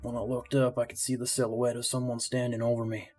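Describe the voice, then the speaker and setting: A man's voice: medium pitch, monotone, fast talker, over music, lightly acted. A man playing a grim, hard-boiled police detective who narrates his story in an amateur audio drama. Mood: tense, somber. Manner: somber first-person storytelling.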